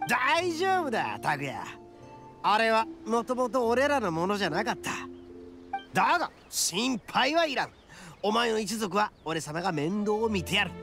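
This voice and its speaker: A man voicing a boisterous, big-hearted young oni gang boss in a Japanese game, cocky and loyal, with swaggering rough speech. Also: high voice, very animated, a little slow, sharp tone, soft background music, heavily acted. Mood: confident, reassuring. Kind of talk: Japanese anime game voice acting.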